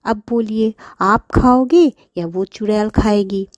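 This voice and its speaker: A woman voicing a clever young girl in a children's cartoon, calling out and coaxing in drawn-out pleas. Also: medium pitch, no echo, clean studio sound, natural voice. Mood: coaxing, persuasive.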